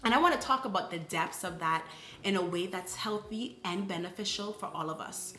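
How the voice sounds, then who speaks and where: A woman's voice: medium pitch, a little fast, fairly bright tone, faint music behind. Woman vlogging in an echoey room. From Bahamas. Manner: reflective, earnest, frequent "right?" asides. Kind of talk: casual personal storytelling.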